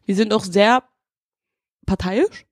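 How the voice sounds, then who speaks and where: A young adult woman with a Berlin accent, co-hosting a podcast in lively, slangy casual chat and laughing easily. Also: medium pitch, slow pace, dry recording. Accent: Berlin German. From Berlin, Germany. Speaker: podcast co-host.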